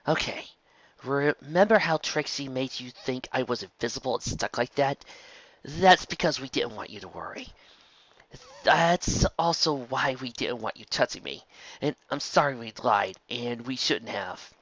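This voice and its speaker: A man's voice: medium pitch, lively intonation, unhurried, fairly bright tone, no room sound. A man voicing an earnest young female pony turned beast, apologetic and soothing, in a storybook reading on a lo-fi recording. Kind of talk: acted storybook dialogue.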